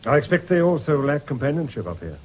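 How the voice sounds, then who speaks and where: An actor with an English accent playing a genial but firm country doctor in a radio drama. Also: male voice, fairly high pitch, sing-song, fast, a little mellow, a little hiss or hum.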